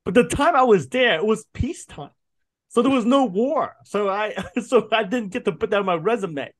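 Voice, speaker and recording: Man in his 50s, guest on a podcast, kinda high voice, animated, laughs a lot and restarts sentences. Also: moderate pace. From Vietnam. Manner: animated storyteller, laughs often, frequent restarts. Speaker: guest, food writer. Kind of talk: casual podcast interview.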